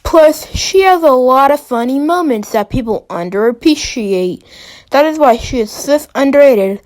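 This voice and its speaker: A woman's voice: high-pitched, animated, moderate pace, a little mellow. A woman giving informal, opinionated ranking commentary in a playfully dramatic way. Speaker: video host.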